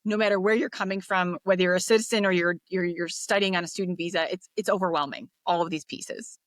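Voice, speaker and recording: A woman's voice: on the low side, fairly even intonation, quick pace, no room sound, clean studio sound. American woman reflecting on life abroad. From United States. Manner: reflective, rambling, with fillers and restarts. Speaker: podcast guest. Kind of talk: casual interview talk.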